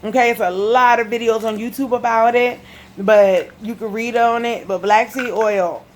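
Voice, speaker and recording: A woman's voice: a little high, steady tone, normal speed, slight background noise. A woman with a lisp talking casually and emphatically to her viewers in a home video, repeating key points. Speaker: video blogger giving health testimony. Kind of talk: casual direct-to-camera chat. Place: living room at home.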